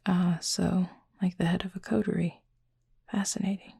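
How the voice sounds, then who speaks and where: Woman as breathy, creaky-voiced, courteous cupid roommate. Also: fairly low pitch, flat delivery, dry recording, full character voice. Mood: curious, reserved. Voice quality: soft, breathy, husky. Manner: polite, formal, measured, earnest. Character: gentle, formal, curious supernatural cupid roommate. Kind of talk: intimate roleplay audio acting.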